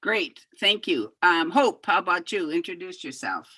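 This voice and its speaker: A woman's voice: on the low side, lively intonation, moderate pace, pushed and bright, slightly rough, no room sound. A woman facilitating an online workshop, calling on participants by name with short upbeat prompts. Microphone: video call.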